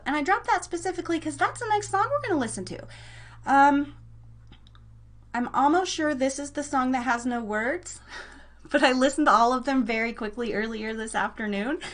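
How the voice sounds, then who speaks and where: High-voiced, chatty woman radio host. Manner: chatty, rambling, self-deprecating; enthusiastic, effusive. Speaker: live internet radio show host. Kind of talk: casual live radio chat.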